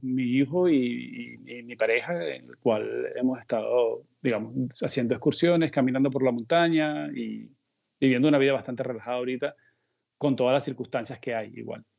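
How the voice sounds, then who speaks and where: A middle-aged Venezuelan man talking as an interview guest in a relaxed, meandering way, thinking aloud mid-sentence. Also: mid-range voice, moderate pace, creaky, lo-fi sound. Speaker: guest illustrator. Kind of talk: casual conversational interview. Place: remote call from home abroad.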